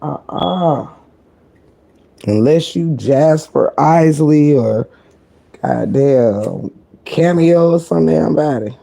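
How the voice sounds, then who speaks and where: Deep, gravelly woman gossiping on stream. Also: very slow, long pauses. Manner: sassy and blunt, heavy cursing; talking low to keep quiet. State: croaky voice. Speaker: live-stream commentator. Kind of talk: casual live-stream gossip commentary.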